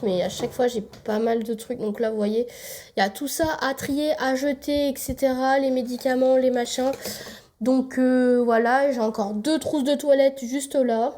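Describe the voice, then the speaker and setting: A young woman with a stuffy, cold-thickened voice chats to her camera as she shows round her home. Her voice sits a little high, and she rambles loosely, with plenty of filler sounds. It is an easygoing, homemade vlog recorded indoors. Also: moderate pace, dark tone. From France. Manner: rambling, many fillers, gives a tour while tidying. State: congested with a cold. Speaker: vlogger. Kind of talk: casual vlog chat. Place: apartment indoors.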